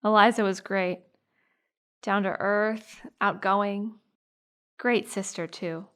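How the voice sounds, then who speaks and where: A young American woman playing a sympathetic, earnest high school girl recalling a friend in a naturalistic audio drama. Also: steady tone, slow pace, a bit bright. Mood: reflective, fond.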